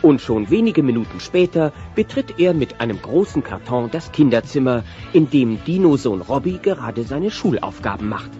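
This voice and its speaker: A man's voice: medium pitch, sing-song, moderate pace, few pauses, music in the background. A man narrating a scripted audio drama for listeners. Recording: muffled cassette transfer.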